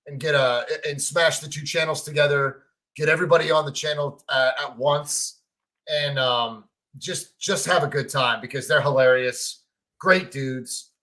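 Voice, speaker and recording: A man with a fairly high voice talks sports with friends. He is emphatic and teasing, and he pushes his points hard. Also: sharp tone. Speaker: podcast co-host. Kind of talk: casual sports chat.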